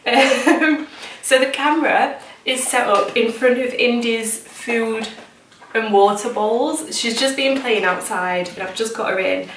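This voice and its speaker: A British woman talks casually to camera in a chatty, informal way. Her voice sits a little high, and she is recorded in an echoey room at home with noticeable noise behind her. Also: a little slow, fairly bright tone. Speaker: home vlogger. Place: indoors in a home kitchen and small utility room. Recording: echoey room, distant mic, noisy background.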